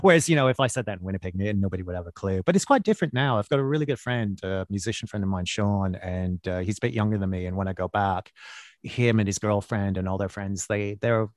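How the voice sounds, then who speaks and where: A middle-aged man with a Canadian accent and a fairly low voice talks easily as an interview guest. He rambles warmly through his story, with frequent fillers, restarts and laughs. The sound is dry and close, with no room around it. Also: fast talker, dry recording. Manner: chatty, laughs often, many fillers and restarts. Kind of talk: casual chat.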